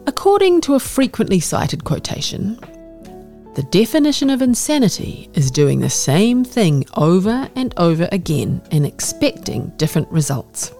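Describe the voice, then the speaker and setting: A woman reading a scripted opinion essay aloud as a narrator, with an expressive, measured delivery over music. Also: lowish voice.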